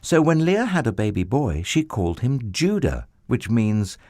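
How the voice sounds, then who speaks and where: A man telling a children's Bible story in an expressive, theatrical way with drawn-out emphasis. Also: mid-range voice, no room sound, clean studio sound.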